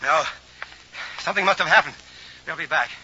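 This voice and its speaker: A man's voice: on the high side, brisk, lightly acted. An actor playing a desperate scientist urgently searching for a lost woman in a mid-century radio drama. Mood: agitated. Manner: urgent, anguished, calling out names. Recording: noisy, dull old radio recording.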